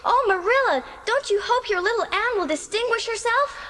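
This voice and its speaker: A young orphan girl with a very high, piping voice. She is gushing and theatrical, speaking with breathless earnestness in a storybook dramatisation. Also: lively intonation, moderate pace, bright, forceful tone, full character voice. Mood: excited, proud. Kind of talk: dramatised audiobook acting.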